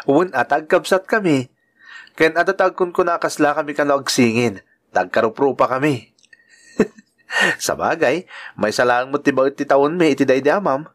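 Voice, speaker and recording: A man's voice: on the high side, a little slow, pauses often, fairly bright tone. A man with an Ilocano accent playing a good-natured bachelor uncle and devoted son in a radio-style drama. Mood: easygoing, fond.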